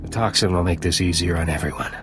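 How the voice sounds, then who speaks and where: A man plays a desperate alien villager turned betrayer. His fairly low voice is urgent and hard-edged, with game music clearly behind it. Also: fast talker, lightly acted. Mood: grim, desperate. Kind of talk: video game cutscene acting.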